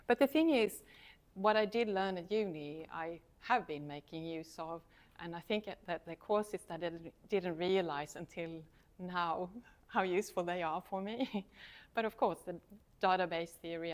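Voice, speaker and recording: Swedish-accented woman, chatty guest lecturer. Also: lowish voice. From Sweden. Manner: chatty, self-deprecating, laughs often. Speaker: university lecturer, guest speaker. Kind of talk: casual talk to a student society.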